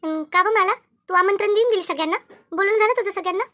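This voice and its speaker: Cartoon village housewife played by a woman: extremely high voice, quick chatter, helpful, nosy, repeats herself. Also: sharp tone, a little hiss or hum. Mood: questioning, reproachful. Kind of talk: animated cartoon comedy dialogue.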